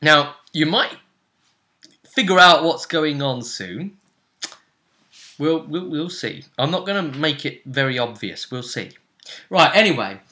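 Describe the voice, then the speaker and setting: A man recapping a tabletop role-playing session as game master, in a casual, animated storytelling manner. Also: on the high side, unhurried, long pauses.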